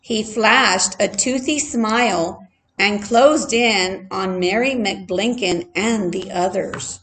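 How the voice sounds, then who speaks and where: A woman reading a children's story aloud expressively, like a teacher, deliberate and adding playful asides for her listeners. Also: mid-range voice, a bit bright.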